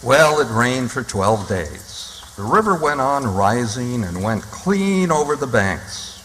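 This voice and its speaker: A man's voice: medium pitch, very animated, takes it slowly, fairly bright tone. A man narrating a story for radio theatre in a storytelling style. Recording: reverberant hall, rain noise.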